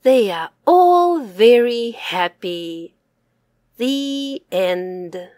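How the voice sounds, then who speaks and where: A woman reads a children's story aloud slowly, her high voice rising and falling with each line. The sound is close and dry, and the delivery is sing-song. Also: expressive, dark tone, dry recording. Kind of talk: reading a picture book aloud to children.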